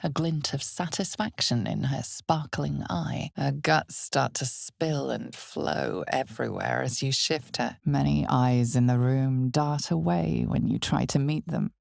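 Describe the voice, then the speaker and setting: An ominous female narrator for a dark fantasy game, low and heavily creaky. She tells the tale slowly and knowingly, with weighty pauses and a deliberate, dramatic delivery. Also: no room sound, clean studio sound, exaggerated voice. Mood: grave storytelling. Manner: measured, deliberate, weighty pauses, sometimes dropping to near whisper.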